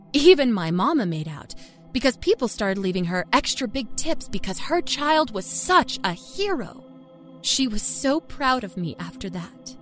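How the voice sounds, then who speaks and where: A woman playing a self-satisfied small-town liar from Kentucky, telling her dark story in a folksy, confiding way. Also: on the high side. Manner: folksy, chatty, smugly confiding. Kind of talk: first-person dark storytelling. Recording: music under the narration.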